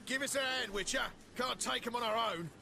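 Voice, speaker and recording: An actor playing a crude, rowdy, defiant tough in a fantasy game, shouting his lines. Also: extremely high pitch, fairly quick, gravelly, full character voice. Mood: urgent. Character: foul-mouthed, rowdy defiant tough man.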